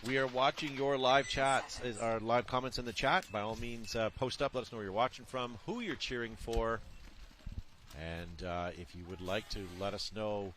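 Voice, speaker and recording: A man's voice: medium pitch, moderate pace, a bit bright, slight background noise. A man commenting live on a paintball match from a booth, chatty and joking with his co-host.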